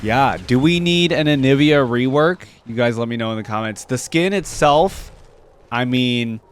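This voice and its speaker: A man's voice: mid-range voice, moderate pace, a little hiss or hum. A man giving casual, rambling reaction commentary as a video host.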